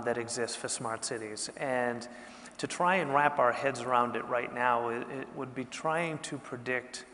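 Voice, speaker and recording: A man's voice: mid-range voice, fairly bright tone. A man answering as a panelist in a thoughtful, emphatic way. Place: conference hall panel stage. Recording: reverberant conference hall.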